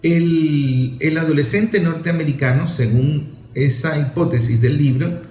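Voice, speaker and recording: A man lectures in a fairly high voice, turning to the listener with everyday examples. He sounds like a commentator making his case. The room gives his voice a clear echo. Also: a little slow, a little mellow. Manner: didactic, addresses listener directly with examples. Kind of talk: explanatory monologue.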